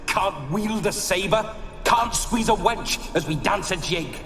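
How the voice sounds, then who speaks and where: A man's voice: high-pitched, animated, measured pace, echoey room, music in the background. An actor playing a roguish, lecherous ghost of a boastful nobleman in a video game, with a pompous, theatrical, old-fashioned way of talking. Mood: blustering, lamenting. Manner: pompous, theatrical, archaic turns of phrase.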